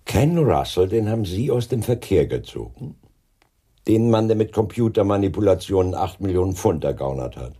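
Sardonic police inspector with swooping intonation. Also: male voice, low voice, sing-song, normal speed, dark tone, breathy edge, full character voice. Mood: courteous, surprised. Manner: dry, ironically courteous. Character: sardonic, self-assured male London police inspector. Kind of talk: German radio crime drama acting.

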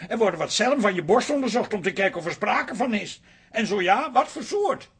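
A man's voice: high voice, expressive, brisk, husky, exaggerated voice. An actor playing a protective, reassuring man in a radio drama. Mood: concerned, insistent. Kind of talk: Dutch radio drama acting.

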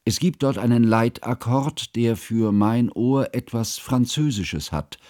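An actor playing a bookish, earnest, fussy scholar, narrating a literary radio play in a measured, formal, pedantic way. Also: male voice, mid-range voice, mellow, no echo, studio quality, natural voice. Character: bookish, earnest, fussy male scholar recounting his friend's life.

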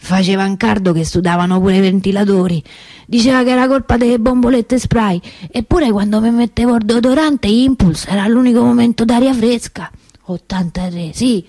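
An actress playing a middle-aged working-class Roman souvenir seller in a theatrical monologue, rambling and confiding in a colloquial, indignant way. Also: female voice, a little high, moderate pace, mellow, dry recording. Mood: wry reminiscing. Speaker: stage and radio actress.

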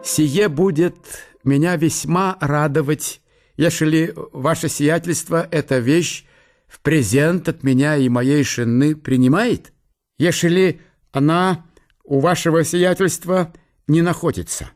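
A man plays a fawning German general in a historical radio drama. His voice sits high, the accent is German, and he picks through formal phrases haltingly, always eager to please. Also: darkish tone, lightly acted. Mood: flattering.

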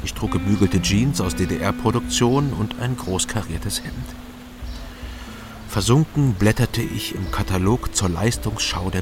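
An actor playing a sober, watchful East German investigator in a radio crime drama, terse, measured and matter-of-fact. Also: male voice, low voice. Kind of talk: radio crime drama, dry first-person narration and dialogue.

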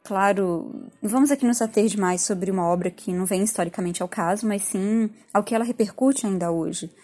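A woman's voice: mid-range voice, a little fast, warm tone. A woman with a Brazilian accent giving an informal, reflective spoken commentary with a lightly self-deprecating manner. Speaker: art history teacher.

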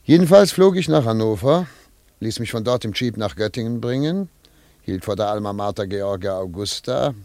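A man's voice: fairly low pitch, mellow, dry recording. A man playing a brusque, cynical investigator in a German radio drama, speaking with sardonic, clipped authority. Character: brusque, cynical male investigator, sceptical and commanding. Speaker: investigator and narrator.

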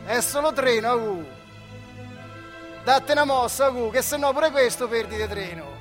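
Old Marche factory worker, higher-pitched voice. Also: male voice, a little slow, faint music behind. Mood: agitated, calling out. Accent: central Italian, Marche dialect. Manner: colloquial, earthy, shifting between voices in dialogue. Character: two old Italian factory workers, lifelong comrades, wistful and bickering. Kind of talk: theatrical dialect monologue, radio drama.

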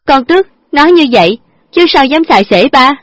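A hot-tempered young woman, played by a female storyteller, fires off shrill retorts, pitched well above the reader's own voice and pushed loud. Her tone has a husky, breathy edge over faint background music in a dry, close recording. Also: no room sound. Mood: angry scolding. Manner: shrill, scolding, fast retorts.

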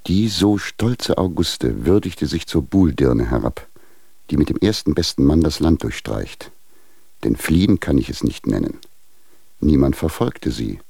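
An actor in a German radio drama playing a stern, sanctimonious guardian, speaking in a pompous, formal, moralizing way with a gravelly bass voice. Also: male voice, sing-song, a little slow, mellow, no room sound, clean studio sound, exaggerated voice. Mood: stern condemnation. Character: stern, sanctimonious guardian denouncing his wayward ward.

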